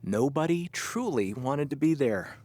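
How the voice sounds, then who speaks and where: A man's voice: mid-range voice, lively intonation, unhurried, a little mellow. A man playing an easygoing small-town radio guy, chatting casually in a comedic audio drama. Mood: sincere. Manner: casual, conversational.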